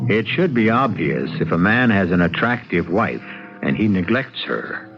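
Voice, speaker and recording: A man hosting an old-time radio mystery, narrating from a script in a wry, measured storyteller's manner. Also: low voice, sing-song. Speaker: host and narrator. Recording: dull old radio recording. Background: music.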